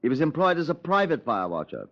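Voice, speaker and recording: Keen, polite police inspector, old radio play, muffled lo-fi sound. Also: male voice, a little high, huge pitch swings, fast talker, fairly bright tone, heavily acted. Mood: eager. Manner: deferential, keeps adding "sir", eager. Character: eager young male detective inspector, deferential. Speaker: detective inspector. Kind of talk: 1950s radio drama acting. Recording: lo-fi vintage radio transcription.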